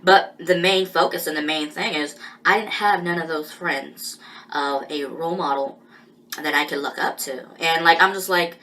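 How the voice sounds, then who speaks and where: A woman talking through a personal monologue in a halting, rambling way, with many pauses and restarts. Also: low-pitched, pushed and bright.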